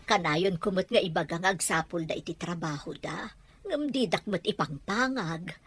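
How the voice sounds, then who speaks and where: A woman's voice: lowish voice, normal speed, fairly bright tone, husky. A woman with a Filipino accent acting plaintive, put-upon family women in an audio drama. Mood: weary, plaintive.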